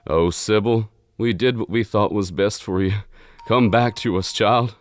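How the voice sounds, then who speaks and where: A man playing a gracious, caring, brooding father with a somber baritone and a syrupy Southern accent. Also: measured pace, no room sound, lightly acted. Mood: grieving. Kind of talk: audio drama acting.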